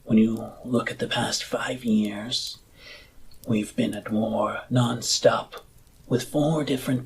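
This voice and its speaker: A man playing a courteous, earnest politician campaigning for power in a roleplayed audio drama, speaking formally and deliberately. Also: mid-range voice, gravelly, a little hiss or hum, rough recording. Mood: grave, probing. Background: music.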